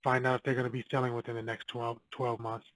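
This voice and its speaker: A man's voice: mid-range voice, flat delivery, quick pace, fairly bright tone, no room sound. A man talking straight to camera, giving direct coaching advice on cold calling and repeating his key points. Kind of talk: casual advice video to camera.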